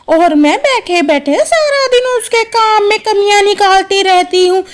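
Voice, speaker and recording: A woman voicing a cranky, fault-finding older mother-in-law bird with a bossy, drawn-out cartoon delivery. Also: very high voice, full character voice. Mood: remorseful, tender.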